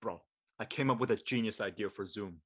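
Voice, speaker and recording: A young man playing a jokey, excitable college student with brash, slangy delivery in a comedic drama reading. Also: fairly low pitch, a little fast, close to an everyday voice. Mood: cheeky. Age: young adult.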